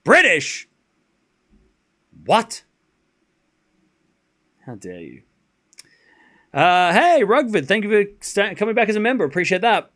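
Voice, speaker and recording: A man with a softened Australian accent calls a tennis match live for his stream viewers, his voice sitting a bit high. He jumps up in energy when a rally heats up, then slips into low asides as he answers chat. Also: slow, pauses often. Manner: rises excitedly on rallies, drops low and muttering between points. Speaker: streamer commentator. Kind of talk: live play-by-play commentary with chat.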